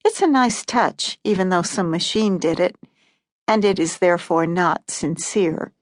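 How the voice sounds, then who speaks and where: A woman reading literary short fiction as an audiobook narrator in a calm, even storytelling manner. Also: fairly low pitch, a little slow, no echo, clean studio sound.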